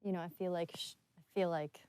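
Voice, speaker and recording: A woman with a slightly low voice chats casually in a commentary conversation. The sound is close and dry. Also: fairly even intonation, quick pace, warm tone, clear, resonant tone, dry recording.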